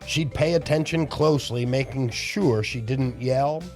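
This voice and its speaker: A man's voice: mid-range voice, measured pace, a little mellow, faint music behind. An older man hosting a children's storytime, reading rhymes and chatting directly to young listeners in a theatrical, cheery way. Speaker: children's storytime host and entertainer. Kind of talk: rhyming read-aloud narration and cheery chat to children.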